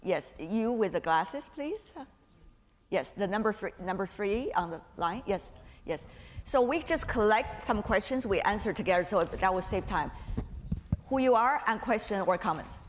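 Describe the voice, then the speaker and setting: A woman moderating a live panel, brisk and directive in how she steers the discussion. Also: mid-range voice, expressive. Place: conference panel hall. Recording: echoey hall with some noise.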